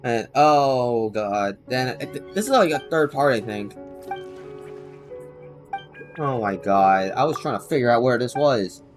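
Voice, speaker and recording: A streamer plays a video game and talks through it casually, in a rambling way. The pitch swings widely, with bewildered exclamations, and music plays clearly behind the voice. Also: animated, slow, long pauses. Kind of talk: casual gameplay commentary.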